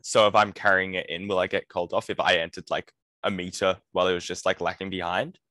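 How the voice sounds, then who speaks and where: A man's voice: deep voice, flat delivery, sharp tone, no room sound, studio quality. A man who plays hockey, asking hesitant questions on a video call. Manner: hesitant, asks in halting bits. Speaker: hockey player.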